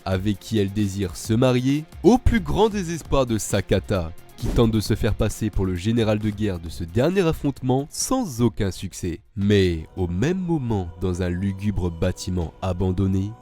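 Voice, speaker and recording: A man's voice: lowish voice, expressive, normal speed, hardly any pauses, warm tone, faint music behind. A man presenting a video with scripted narration.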